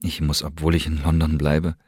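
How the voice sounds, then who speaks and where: A man's voice: very deep voice, monotone, moderate pace, a little mellow, slightly rough, no echo, studio quality. An actor playing a remorseful young playwright, reading his formal letter home aloud in a German radio drama. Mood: earnest, rueful. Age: young adult.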